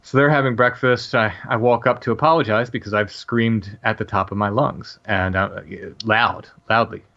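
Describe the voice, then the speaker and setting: Low-voiced man telling a story haltingly. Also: fairly even intonation, sharp tone, muffled recording. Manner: halting, many restarts and fillers. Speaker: podcast guest. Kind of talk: casual storytelling.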